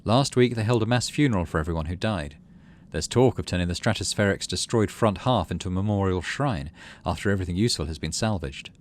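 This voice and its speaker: A man's voice: sits low, quick pace. A man hosting a gaming video, reading visual novel text aloud and adding casual commentary. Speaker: gaming channel host.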